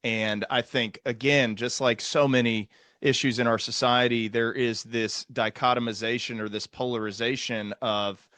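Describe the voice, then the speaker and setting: A man with a mid-range voice hosts a doctors' podcast. He talks in a measured, deliberate way, pausing on "uh" and "you know" as he thinks aloud, and the recording is dry and close. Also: mid-range voice, fairly even intonation, fairly bright tone, no room sound. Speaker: physician podcast host. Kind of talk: podcast discussion.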